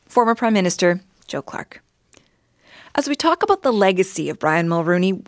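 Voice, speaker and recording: A woman's voice: mid-range voice, no room sound, clean studio sound. A woman with a Canadian accent hosting a political interview programme as a broadcast interviewer. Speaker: radio host and interviewer.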